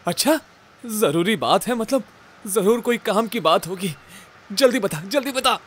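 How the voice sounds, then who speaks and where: A man acting a high-pitched, bossy ghost investigator. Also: animated, unhurried, fairly bright tone, breathy edge, full character voice. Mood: curious. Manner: bossy, exasperated, foul-mouthed. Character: Dhruv, irritable bossy male ghost-case investigator and leader. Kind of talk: Hindi horror-comedy audio drama acting.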